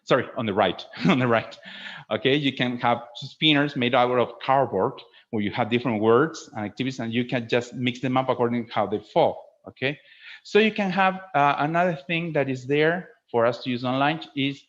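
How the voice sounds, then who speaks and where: A man speaking as a teacher trainer in an informal instructional webinar, explaining in an animated way and often checking that listeners follow. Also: mid-range voice, a little fast. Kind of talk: informal instructional presentation with acted demonstrations. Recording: dull lo-fi webinar audio.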